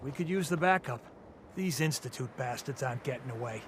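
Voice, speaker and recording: A man's voice: mid-range voice, expressive, brisk, a little hiss or hum, natural voice. An actor in a video game playing a combative militia man who talks in a blunt, colloquial, challenging way. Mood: tense, defiant. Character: defiant, combative male militia fighter, blunt and suspicious.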